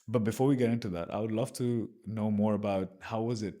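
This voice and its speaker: A man's voice: on the low side, fairly even intonation, fairly quick, mellow. A man hosting a podcast interview, reading a scripted intro and then asking casual questions with fillers. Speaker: podcast host.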